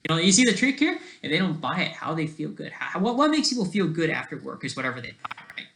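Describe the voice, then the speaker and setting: A person giving rambling off-the-cuff commentary in a halting, sarcastic way, restarting mid-thought and often checking with a questioning tag. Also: mid-range voice, very animated, vocal fry, a bit bright, a little hiss or hum.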